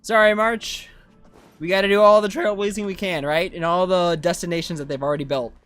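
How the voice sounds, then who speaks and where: A streamer with an androgynous voice comments casually on a game. The delivery is low-key and musing, at a medium pitch, with faint game music behind it. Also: steady tone, a little fast, fairly bright tone.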